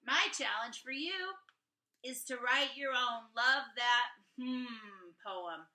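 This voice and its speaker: Lady teacher doing a read aloud for kids, slow n encouraging, super bright voice, bit echoey. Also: on the high side. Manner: addresses young listeners directly, encouraging. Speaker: teacher reading aloud to students. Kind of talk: expressive instructional read-aloud. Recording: echoey room.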